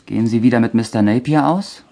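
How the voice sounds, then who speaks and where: An actor playing a shy, defensive young office junior with a husky voice in a radio play. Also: male voice, medium pitch, fast talker, warm tone, close to an everyday voice. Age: young adult. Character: touchy, shy teenage male office junior. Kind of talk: German crime radio play acting.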